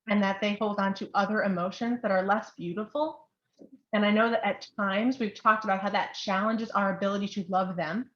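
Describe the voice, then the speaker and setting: A young woman giving an informal, heartfelt sermon as a church speaker, with an earnest, affectionate manner. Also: medium pitch. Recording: roomy echo, dull lo-fi sound.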